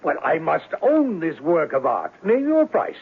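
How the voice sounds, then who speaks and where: A man's voice: fairly high pitch, huge pitch swings, measured pace, gravelly, exaggerated voice. A man playing a flamboyant, eccentric rich man of mystery in a 1970s radio drama, grandiose and exclamatory, boastful and theatrical. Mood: theatrical indignation, curious. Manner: grandiose, exclamatory, foreign phrases mixed into English. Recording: lo-fi noisy 1970s radio broadcast.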